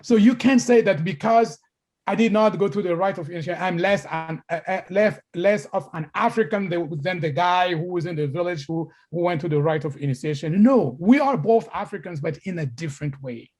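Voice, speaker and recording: A man's voice: high voice, brisk, darkish tone. A middle-aged man from Congo giving a lecture as a story, repeating words and restarting sentences.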